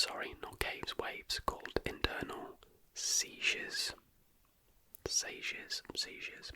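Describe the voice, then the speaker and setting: An ASMR host reading a script in a soft whisper, with careful, deliberate phrasing.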